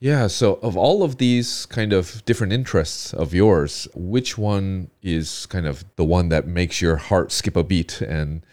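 A man's voice: low voice, unhurried, hardly any pauses, darkish tone. A man hosting a casual interview, asking curious questions and giving many short acknowledgements. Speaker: podcast host.

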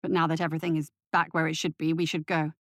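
A woman's voice: low voice, flat delivery, quick pace, a bit bright, no room sound, clean studio sound, voice pushed down. A woman voicing an anxious, pleading father in a story, with a stammering manner. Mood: nervous, apologetic.

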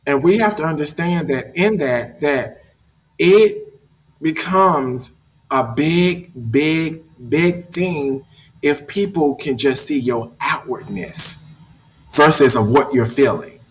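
Earnest animated man talking self-help. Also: on the high side, slow, rough recording. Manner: earnest, animated, repeats words while thinking. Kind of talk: casual motivational discussion.

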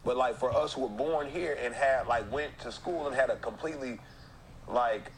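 A rapper in a casual interview, his voice pitched at an ordinary level for a man. He talks with animation and mimics people as he goes, while a crowd is clearly heard around him. The clip is played back from another recording. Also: normal speed, poor audio. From United States. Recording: crowd noise behind.

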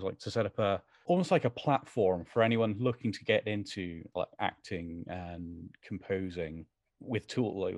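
A man's voice: low-pitched, no echo, studio quality. A man with a British accent chatting casually as an interview guest, discursive and modest in his way of talking.

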